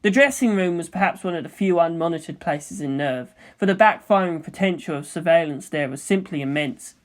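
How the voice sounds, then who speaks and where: A woman reading a story aloud as an audiobook narrator, in an expressive scripted style. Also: fairly low pitch, fairly quick. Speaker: audiobook narrator of fan fiction.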